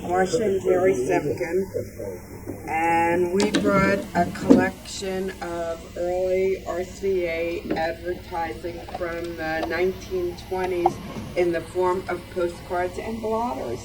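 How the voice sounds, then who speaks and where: A woman's voice: fairly low pitch, steady tone, takes it slowly. A woman giving an informal show-and-tell talk about a collection as a club member. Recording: noisy meeting room.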